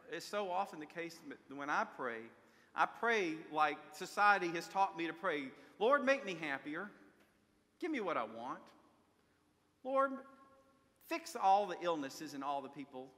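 A man's voice: high voice, very animated, unhurried, pauses often, bright, forceful tone. An older man preaching a sermon from a church pulpit, telling stories earnestly and asking rhetorical questions. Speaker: pastor. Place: large church sanctuary at a pulpit. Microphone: pulpit microphone, far camera. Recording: long church reverb.

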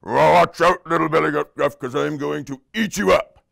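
A man voicing a horrible, mean, always-hungry storybook troll who bullies with slow, drawn-out threats. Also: on the high side, fairly even intonation, gravelly, fairly bright tone, pitched above the performer's own voice. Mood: menacing. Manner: slow, drawn-out menacing challenges.

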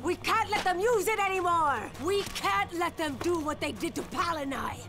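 A woman's voice: very high voice, lively intonation, normal speed, over loud music. An actress playing a desperate, fatalistic mutated woman in a zombie game, speaking in a grave, dramatic, emphatic way. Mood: anguished, urgent. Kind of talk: video game cutscene acting.

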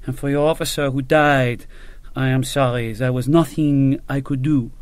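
A man voicing a courteous, grey-haired older colonel with a soft, sad manner. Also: medium pitch, fairly even intonation, moderate pace, smooth, dry recording, lightly acted. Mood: gentle.

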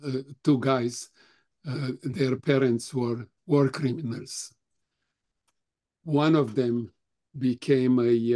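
An older man whose first language is German, slowly answering questions at a remembrance talk with many halting pauses. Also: mid-range voice, dark tone. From Europe; grew up speaking German, Russian, Romanian. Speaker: Holocaust survivor guest speaker.

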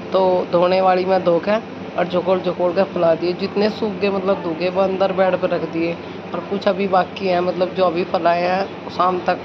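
A woman, chatting casually as a home vlogger. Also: fairly low pitch. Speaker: village vlogger. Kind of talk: casual home vlog chat and narration. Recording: muffled phone audio with clear background noise.

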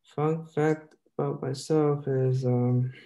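Slow, gravelly student from China. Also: male voice, fairly high pitch, flat delivery, mellow. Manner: brief and hesitant. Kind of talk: self-introduction. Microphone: video call.

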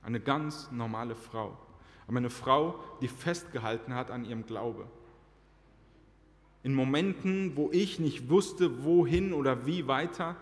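Earnest preacher man in echoing church. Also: medium pitch. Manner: earnest, slows down to stress key phrases. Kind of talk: personal testimony sermon. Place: church sanctuary, large indoor hall. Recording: reverberant church hall.

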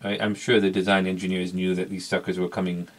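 A man's voice: sits low, little pitch movement, fast. A middle-aged man giving a casual, unscripted product review as a collector, rambling hesitantly and repeating words for emphasis. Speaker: vintage electronics collector and reviewer.

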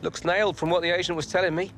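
An actor playing a cheeky, excitable male football agent in a video game, with a British accent and slangy, chatty showmanship. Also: high voice, racing, noisy background, lightly acted. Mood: excited, hyping. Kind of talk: video game cutscene acting.

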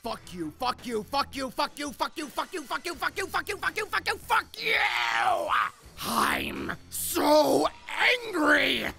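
A woman's voice: sits high, animated, slow pace, creaky, bright, forceful tone, faint music behind, full character voice. A woman voicing a volatile, possessive, lovesick man in an over-the-top, melodramatic comic dub. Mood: furious. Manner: melodramatic, emphatic.

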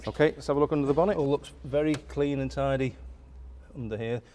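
A middle-aged man giving a relaxed, unscripted walkaround of a classic car for an auction, talking with lots of fillers and restarts in a large indoor warehouse. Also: mid-range voice, quick pace, very noisy.

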